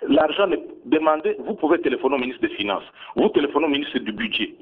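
Husky, insistent Congolese man, phone-like sound. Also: on the high side, lively intonation, quick pace. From Bunia, DR Congo. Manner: insistent, emphatic, repeats points. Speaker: government minister being interviewed. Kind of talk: defensive radio interview answers. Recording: tinny phone-like radio sound.

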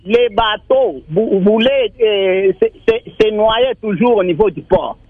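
A young man from Maniema calling in to a radio show, complaining in a halting way and repeating words. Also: high voice, no room sound, phone-quality sound. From Maniema, Congo. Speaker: radio caller. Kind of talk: call-in comment.

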